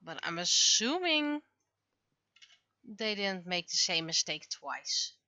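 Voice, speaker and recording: A woman's voice: on the low side, sing-song, takes it slowly, sharp tone, lo-fi sound. A woman livestreamer chatting casually while she draws, often muttering to herself as she works. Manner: mutters to self while working. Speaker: livestreamer and webcomic artist.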